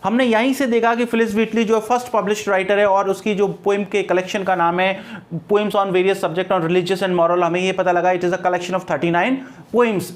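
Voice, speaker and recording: A man's voice: sits high, steady tone, normal speed. A man with an Indian accent teaching an exam-preparation lesson in a didactic way, repeating key points and often checking in with short tags. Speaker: English literature lecturer. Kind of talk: exam-preparation lesson mixing explanation and read-out questions.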